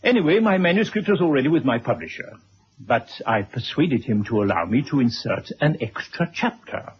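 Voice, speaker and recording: A man playing an older, retired gentleman in a radio drama, polite, precise and gently fussy, with a husky voice. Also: medium pitch, lively intonation, a little fast, slight background noise, natural voice. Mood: earnest, gentle. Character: courteous, fussy elderly retired man writing a memoir. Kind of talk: 1970s radio drama acting. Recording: dull vintage radio recording.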